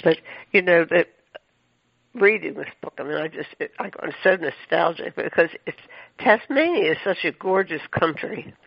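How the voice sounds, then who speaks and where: A man hosts a chatty radio interview, his voice gravelly and pitched a little high. He rambles effusively, looping through fillers and restarts. The sound is dry and dull, like a lo-fi broadcast. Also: expressive, normal speed, no echo. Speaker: radio show host.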